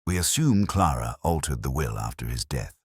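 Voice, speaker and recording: A man reads as an analytical Victorian detective in a storybook. The voice is extremely deep and husky, moving expressively in pitch. He reasons coolly, with deliberate, precise emphasis. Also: big pitch swings, dry recording, clean studio sound, exaggerated voice. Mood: probing, deliberate.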